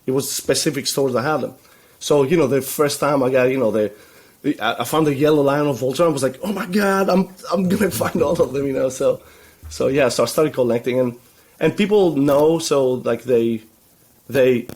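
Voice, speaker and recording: A man originally from Greece talks on a video call from a room at home, his voice sitting at an ordinary middle pitch. He rambles in loose runs, restarting and filling gaps with "you know" and an easy laugh. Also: moderate pace, a little mellow. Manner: rambling, many restarts and fillers, laughs easily. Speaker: guest, web agency founder. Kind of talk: casual video call interview. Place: home room full of toy shelves.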